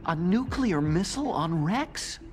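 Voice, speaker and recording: A man's voice: high voice, lively intonation, a little slow, slight background noise. An actor playing a nervous, naive, guilt-ridden American scientist in a dramatic video game cutscene, earnest and anxious as he explains. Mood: earnest, shaken. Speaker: chief engineer character in a video game. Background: music.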